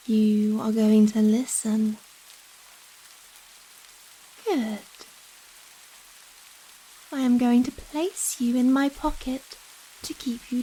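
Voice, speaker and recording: A woman playing a gentle, possessive giant vampire in an ASMR roleplay, coaxing and softly commanding the listener. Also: a little high, heavily acted, low-quality recording. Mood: calm, commanding. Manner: slow, coaxing, softly commanding, breathy sighs and chuckles.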